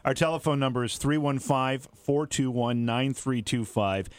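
A man's voice: mid-range voice, moderate pace, smooth, no room sound. Man hosting a casual radio talk show, dry studio sound. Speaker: radio host. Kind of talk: casual radio interview with call-ins.

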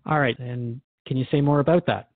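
A man's voice: mid-range voice, steady tone, fairly quick, no room sound, close to an everyday voice. A man from Canada playing a skeptical, slightly flustered radio host in a drama, hesitant and conversational as he questions his guest. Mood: curious, probing. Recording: thin phone-like band-limited audio.

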